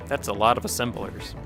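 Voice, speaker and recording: A man streaming a game, chatting casually and rambling as he plays, a bit nasal from a cold. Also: mid-range voice. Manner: rambling, reacts to chat, chuckles often. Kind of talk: casual commentary while playing. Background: game music playing.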